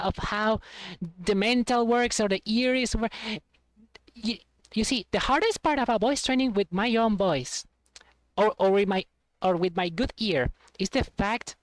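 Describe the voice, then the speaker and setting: A woman streamer rambles to her chat with a voice of middle pitch, laughing easily and stumbling over restarts as she talks. She sounds close to the mic in a dry, dead room. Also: dry recording. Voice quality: trained, voice she has been working on. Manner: rambling, self-deprecating, laughs often, many restarts.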